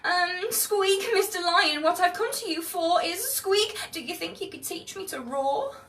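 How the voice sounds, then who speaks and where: A woman voicing a tiny, timid but plucky male mouse from a storybook, squeaky, polite and hesitant. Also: expressive, unhurried, fairly bright tone, reverberant, distant mic, slight background noise, exaggerated voice. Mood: nervous, polite. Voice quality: squeaky, peeping.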